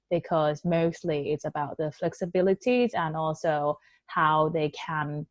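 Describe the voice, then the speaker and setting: Young woman talking about her business, low voice, dry sound, says um a lot. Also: dry recording, highs cut off. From Thailand. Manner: conversational, non-native English with fillers. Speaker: entrepreneur guest. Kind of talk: interview answers.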